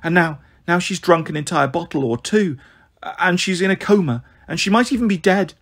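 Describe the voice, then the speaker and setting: A man's voice: high voice, moderate pace, vocal fry, a bit bright, breathy edge, voice pushed up, heavily acted. A man voicing an anxious young girl in a storybook, urgent and pleading. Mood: panicked.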